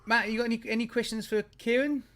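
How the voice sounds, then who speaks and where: A man's voice: very high-pitched, quick pace, a little hiss or hum. A man co-hosting a live show in casual chat, telling stories and laughing often. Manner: animated storyteller, laughs often. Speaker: podcast co-host.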